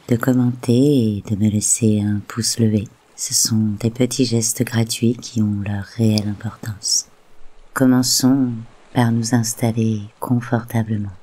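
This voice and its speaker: A soft, breathy voice guiding a meditation in a soothing, unhurried way with gently spaced phrases. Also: mid-range voice. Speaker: guided meditation host. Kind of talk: slow soothing guided meditation narration.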